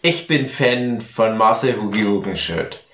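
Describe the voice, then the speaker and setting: Man, German accent, slow rambling delivery, tipsy joking podcast banter, roomy echo. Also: fairly low pitch, a bit bright, a little hiss or hum. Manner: rambling, joking, mock-hypothetical. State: drunk. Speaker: podcast host. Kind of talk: casual comedic podcast banter. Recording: echoey room.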